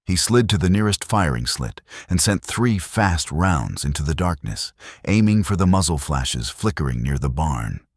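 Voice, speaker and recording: A man's voice: extremely low pitch, sing-song, normal speed, few pauses, husky, no room sound, clean studio sound. A man narrating a dramatic Western tale as a scripted storyteller.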